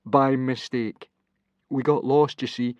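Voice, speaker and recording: A man's voice: mid-range voice, steady tone, slow, darkish tone, no room sound, voice pushed up, lightly acted. A man voicing a timid, miserable Viking boy in an audiobook, meek, stammering and apologetic. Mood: nervous, apologetic.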